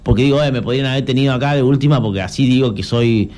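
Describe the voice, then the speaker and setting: Young Argentine man, journalist guest, mellow voice, earnest and halting. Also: medium pitch. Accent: Argentine Spanish. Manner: earnest, explanatory, halting with restarts. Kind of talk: conversational interview.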